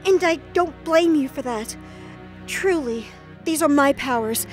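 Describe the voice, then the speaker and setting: A woman's voice: high voice, unhurried, soft background music. A woman voicing a troubled, guilt-ridden goddess with an intense, emotional manner in an audio drama. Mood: bitter guilt.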